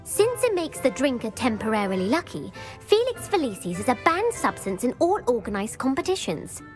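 A bookish, eager young student. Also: female voice, on the high side, very animated, normal speed, soft background music. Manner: eager and lecturing, bursts of praise. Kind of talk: video game voice acting.

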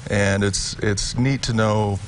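A man in his thirties, seated on a TV studio set with a lapel mic, answering questions about his family business. He talks in a halting way, stopping and restarting with plenty of fillers. His voice sits a little low, with a faint hum behind it. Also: steady tone. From Utah. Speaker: company president, guest. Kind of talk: sponsored TV studio interview.

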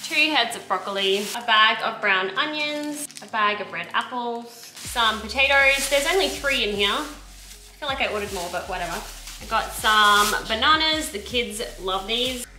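A young Australian woman chatting casually and rambling a little, filmed in her home kitchen by a camera on the counter. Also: a little high. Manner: chatty, rambling with self-corrections. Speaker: vlogger. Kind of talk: casual grocery haul chat. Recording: echoey kitchen with background noise.

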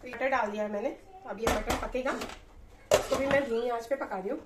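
A woman's voice: fairly high pitch, steady tone, fairly quick, recorded from a distance, heavy background noise. A woman in her thirties chatting casually as she explains each cooking step, recorded on a phone a few feet away in a small home kitchen. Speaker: cooking vlogger. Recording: roomy kitchen sound, off-mic, music underneath.

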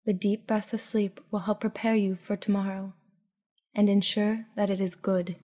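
Soft, mellow woman guiding sleep meditation. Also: mid-range voice, little pitch movement. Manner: soothing, hushed, unhurried with long pauses. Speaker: guided meditation narrator. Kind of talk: guided sleep meditation, scripted narration.

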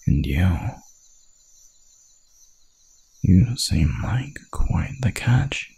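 Werewolf predator, extremely husky, slow-paced, hungry, teasing menace, seductive growl, ASMR roleplay. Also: male voice, deep voice, sing-song, mellow, full character voice. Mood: gloating menace. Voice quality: gravelly, breathy growl.

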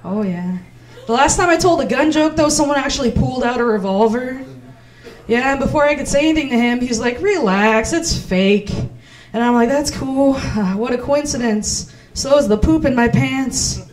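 High-voiced woman hosting stand-up. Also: normal speed, a little mellow. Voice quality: playful, self-mocking. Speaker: comedy host. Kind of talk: stand-up comedy. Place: small comedy club stage. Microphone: handheld mic.

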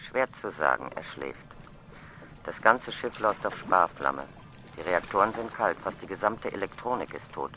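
Very deep calm agency official. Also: male voice, moderate pace, a little hiss or hum, low-quality recording. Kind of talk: radio play acting.

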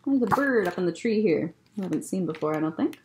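Young female storytime reader, expressive, very lively pitch, unhurried, faintly noisy webcam sound. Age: young adult. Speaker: librarian storyteller. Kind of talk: picture book read-aloud for children. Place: indoor library room.

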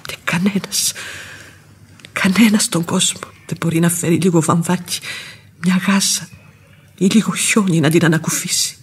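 An actress playing a devoted, outspoken housekeeper and old nursemaid in a radio play, husky-voiced and expressive. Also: female voice, on the low side, pauses often. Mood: sorrowful, resolute. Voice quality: very husky, breathy. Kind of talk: classic radio theatre acting.

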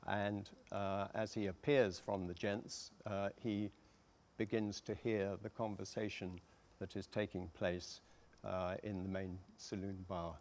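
An older man giving a halting, deliberate explanation on a discussion panel. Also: fairly low pitch, flat delivery, darkish tone. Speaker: panellist, radio adapter. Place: theatre stage, seated panel. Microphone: lapel mic.

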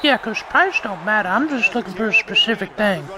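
A man voicing a creepy, chatty old man on a prank phone call, halting and repeating himself. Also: high voice, lively intonation, pitched above the performer's own voice. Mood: rambling, friendly. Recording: very noisy call over game audio.

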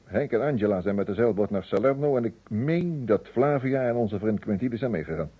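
An actor playing a composed, observant amateur sleuth in a radio play, with a measured, dry delivery. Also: male voice, lowish voice, lively intonation, husky. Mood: dry, relaxed. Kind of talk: Dutch radio drama acting. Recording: tinny, phone-like old radio recording.

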